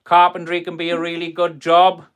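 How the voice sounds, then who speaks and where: A man's voice: fairly high pitch, completely flat delivery, normal speed, a bit bright, clean tone. A man from South Wales with a South Welsh accent, a carpenter chatting on a live stream from his workshop, rambling in a friendly way as he answers viewers' comments. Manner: friendly, rambling, calls viewers "boys" and "buddy".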